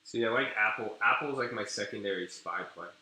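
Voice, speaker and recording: A young man from California rambles through a casual live stream, full of crude slang and half-finished sentences. His voice sits very low but sounds very bright and sharp. The sound carries a roomy echo. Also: a little fast. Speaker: day trader streaming live. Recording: echoey room.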